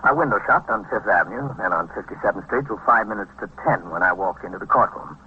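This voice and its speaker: An actor playing a steady, world-weary American police captain who narrates an old radio crime drama in a matter-of-fact, clipped manner. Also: male voice, fast. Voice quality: low, husky voice. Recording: dull, band-limited vintage radio recording.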